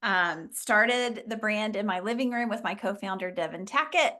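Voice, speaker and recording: A middle-aged woman from Oklahoma, a business founder chatting as a guest, telling her story with quick laughs. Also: mid-range voice, sharp tone. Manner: chatty, laughs often, upbeat. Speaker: franchise founder, interview guest. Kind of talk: casual storytelling.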